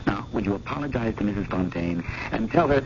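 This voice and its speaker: A man's voice: on the low side, animated, quick pace, natural voice. A man playing a skeptical, impatient museum curator, formal and dryly exasperated, in a 1980s radio drama. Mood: doubtful, firm. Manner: dry, exasperated, formal, hedging politely. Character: impatient, skeptical, polite but stuffy male museum curator. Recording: muffled old radio broadcast, clear hiss.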